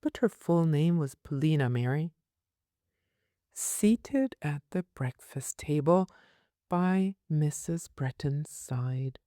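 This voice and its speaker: A woman reading a literary novel aloud as an audiobook narrator, in a measured, deliberate manner. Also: deep voice, lively intonation, mellow, no echo, clean studio sound. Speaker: audiobook reader.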